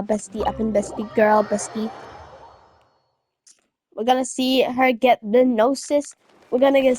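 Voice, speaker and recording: High-voiced woman reacting playfully to a game. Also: a little mellow, slight background noise. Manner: playful, slangy, repeats catchphrases. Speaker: game streamer. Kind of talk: casual playthrough reaction. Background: game music.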